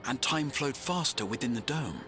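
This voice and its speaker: An actor playing a thoughtful, cautious scholar adventurer in a video game, measured and precise, with music behind him. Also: male voice, mid-range voice, animated, background noise, lightly acted. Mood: pensive. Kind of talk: scripted video game voice acting.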